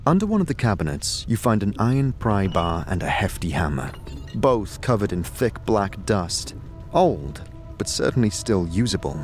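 A man narrating a scripted second-person audio drama in a deliberate storytelling manner. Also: fairly low pitch, sing-song. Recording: music behind the voice. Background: background music.

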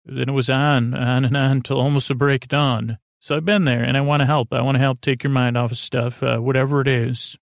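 A man with a creaky, gravelly voice talks in a flat, even murmur, drifting off on tangents and interrupting himself. He hosts a bedtime podcast and offers gentle reassurance. The sound is dry and close, with faint music underneath. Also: brisk, hardly any pauses, no room sound. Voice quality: creaky, low-key delivery. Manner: rambling, self-interrupting, goes off on tangents. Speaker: sleep podcast host. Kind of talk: meandering bedtime monologue.

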